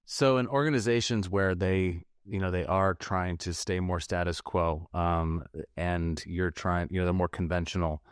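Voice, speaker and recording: A man's voice: low voice, fairly even intonation, moderate pace, few pauses, smooth, no echo, studio quality. A man co-hosting a podcast, putting a question to his guest and rephrasing as he goes. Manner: searching, rephrases as he asks. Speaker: podcast co-host. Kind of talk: podcast interview.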